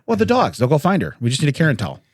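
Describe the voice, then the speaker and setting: Guy cohosting a comedy podcast, voice bounces around a lot, chuckly, clears his throat, dry close sound. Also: on the high side, huge pitch swings, racing, no echo. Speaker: podcast co-host. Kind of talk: casual comedic chat, telling odd news stories.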